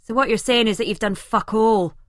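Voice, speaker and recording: A woman's voice: a little high, a little fast, no echo, studio quality, lightly acted. Woman playing a fussy, scornful Scottish young man. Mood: sarcastic. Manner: sarcastic, challenging.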